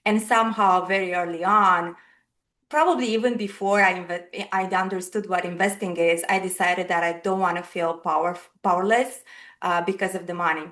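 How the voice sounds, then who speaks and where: A woman's voice: on the low side, fairly bright tone. A woman in her thirties from Romania, speaking English with a Romanian accent as a podcast guest, earnestly telling her personal story.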